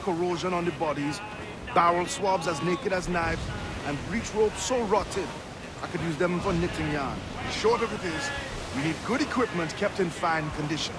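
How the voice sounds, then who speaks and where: An actor playing a dignified, practical pirate quartermaster in a video game, formal wording and dry wit. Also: male voice, sits high, normal speed, heavy background noise, close to an everyday voice. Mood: dry, earnest. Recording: loud music and sea sounds behind. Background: music, waves.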